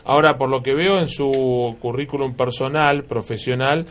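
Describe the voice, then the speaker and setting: A man's voice: mid-range voice, moderate pace. A man with an Argentine accent hosting a radio interview, asking long, rambling questions full of restarts. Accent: Argentine Spanish. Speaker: radio host.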